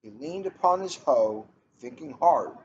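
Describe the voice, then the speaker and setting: Middle-aged male reader, slow and deliberate, casual storybook delivery, dull noisy recording. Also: mid-range voice, lively intonation, darkish tone. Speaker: police officer reading a picture book aloud. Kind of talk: casual chat and storybook read-aloud. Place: coffee shop, indoors. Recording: muffled, noisy room recording.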